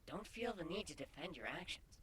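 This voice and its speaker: A woman acts out a playful female changeling from a fantasy audio drama. She is frank and teasing, a little prim in her phrasing, with a very lively pitch. Faint noise sits behind the voice. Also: fairly quick. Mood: playful, curious.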